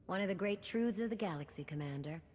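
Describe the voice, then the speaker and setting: An actress playing a wry, cocky smuggler in a video game, talking in a folksy, sardonic way. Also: female voice, mid-range voice, animated, fast, darkish tone, lightly acted. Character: brash, wry female smuggler running an underworld supply chain. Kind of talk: scripted video game dialogue. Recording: reverberant hall with background hum.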